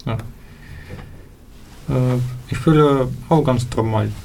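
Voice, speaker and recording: A seventeen-year-old man from Ukraine with a Ukrainian accent, answering interview questions in halting speech full of fillers as he searches for words. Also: mid-range voice, creaky, warm tone, a little hiss or hum. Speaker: interview guest.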